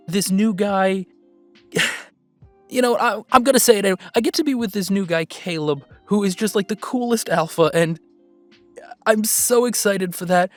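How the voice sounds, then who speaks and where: A woman's voice: medium pitch, close to an everyday voice. Woman as an earnest young male werewolf streamer. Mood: excited, grateful. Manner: heartfelt, halting, informal streamer chatter. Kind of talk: audio roleplay as a livestream confession to viewers.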